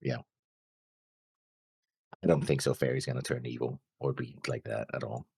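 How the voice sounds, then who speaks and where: A man co-hosting a reaction show, talking casually through notes with halting, noncommittal phrasing. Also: rumbling low, fairly even intonation, gravelly, dark tone, slightly rough, dry recording. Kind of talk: casual discussion, reading out notes.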